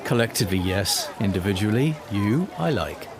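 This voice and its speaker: A man playing a grumpy, fastidious boyfriend in a scripted drama reading, dry, deadpan and complaining. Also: on the low side, a crowd in the background. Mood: disgusted, dry. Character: grumpy, fastidious boyfriend hating a crowded boat.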